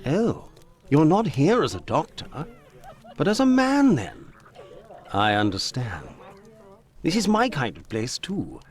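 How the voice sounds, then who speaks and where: Smug, lecherous Victorian gentleman, lilting. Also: a little high, huge pitch swings, takes it slowly, pauses often, heavily acted. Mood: sly, insinuating. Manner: insinuating, condescending. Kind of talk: video game voice acting.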